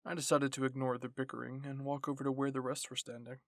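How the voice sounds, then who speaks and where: Soft, dry-sounding male story narrator. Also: mid-range voice, level tone, fast, dry recording. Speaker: narrator and reader. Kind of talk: scripted first-person ASMR story narration.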